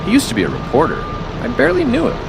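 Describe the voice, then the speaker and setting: A man narrating a dark scripted story in the first person, slowly and seriously, with dramatic pauses. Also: very high voice, huge pitch swings, gravelly, loud music behind the voice, low-quality recording. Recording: loud city ambience and rain noise. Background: rain and city traffic ambience.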